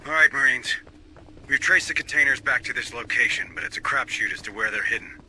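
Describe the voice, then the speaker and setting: An actor playing a gruff, stubborn commander of space marines in a video game, giving clipped, commanding briefings with dry sarcasm. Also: male voice, medium pitch, brisk, pushed and bright, slight background noise, low-quality recording. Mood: commanding, focused. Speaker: military commander. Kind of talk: video game cutscene acting and mission briefing.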